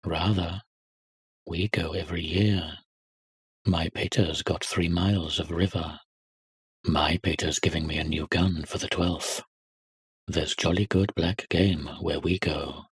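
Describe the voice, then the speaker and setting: A man voicing snobbish, sneering prep-school boys, quieter and a little higher than his narration, with a husky tone. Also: steady tone, measured pace, full character voice. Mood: boastful.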